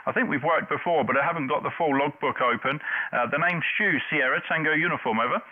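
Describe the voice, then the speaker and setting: A man's voice: a little high, fast talker. A middle-aged man running an amateur radio net, chatting in call signs and signal reports. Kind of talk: radio contact chat in call-sign jargon. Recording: narrow, phone-like dull audio.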